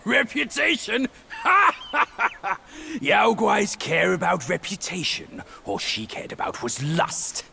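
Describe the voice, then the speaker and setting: A man voicing a lecherous, boastful, quick-tempered pig demon in a game cutscene, mocking and blustering. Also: high-pitched, very animated, gravelly, a little hiss or hum, heavily acted. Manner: mocking, blustering, shouts when angered. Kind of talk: video game cutscene voice acting.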